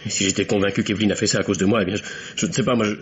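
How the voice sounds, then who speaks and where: A low-voiced young bachelor played by an actor, guilt-ridden and pleading, stumbles earnestly over his words. It is vintage radio drama acting, with a dull, lo-fi sound and steady background noise. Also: lightly acted. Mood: shaken, earnest. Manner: halting, stammering, earnest. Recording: dull lo-fi old radio, noisy.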